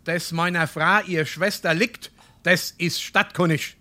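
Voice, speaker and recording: Sharp-voiced grumbling Hessian husband. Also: male voice, a little high, steady tone, unhurried. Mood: exasperated. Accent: Hessian, Darmstadt. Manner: exasperated, sarcastic. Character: grumbling, scolding small-town husband, gruff Biedermeier burgher. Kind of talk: dialect stage comedy.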